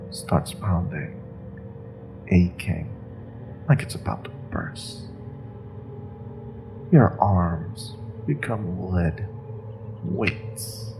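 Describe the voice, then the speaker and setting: A man playing a cruel rogue sorcerer in a fantasy audio drama, taunting a captive with mock politeness, often dropping toward a breathy whisper. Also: low-pitched, full character voice. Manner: slow, taunting, mock-polite, savoring threats with pauses. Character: cruel, menacing rogue sorcerer, male captor taunting his prisoner.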